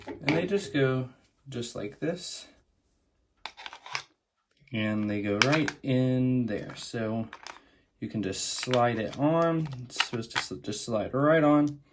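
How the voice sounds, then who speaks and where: A man's voice: mid-range voice, animated, slow, pauses often, noisy background. A man hosting a casual product unboxing, explaining things plainly, step by step. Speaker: unboxing video host.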